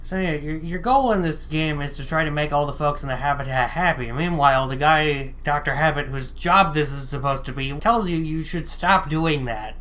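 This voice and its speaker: Very low-voiced woman, wry casual chat. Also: moderate pace, few pauses, a bit bright, a little hiss or hum. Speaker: podcast co-host. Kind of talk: casual chat, summarizing a game.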